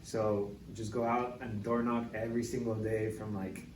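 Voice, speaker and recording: Young man, relaxed and distant in echoey room. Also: on the low side, moderate pace. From Mexico City. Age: young adult. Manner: relaxed, filler-heavy storytelling with many "like"s. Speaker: real estate agent, podcast guest. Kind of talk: casual interview chat. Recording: echoey, distant mic with clear background noise.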